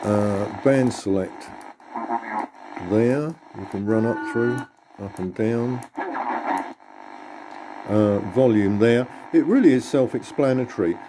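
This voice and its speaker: A man presenting a radio receiver in a casual, unhurried, matter-of-fact demonstration. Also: on the low side, background noise.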